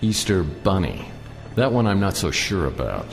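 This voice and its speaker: An actor playing a wry, world-weary private detective in a noir radio drama, narrating in a deadpan, sardonic way. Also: male voice, deep voice, normal speed, vocal fry, exaggerated voice. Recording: roomy long reverb under loud music.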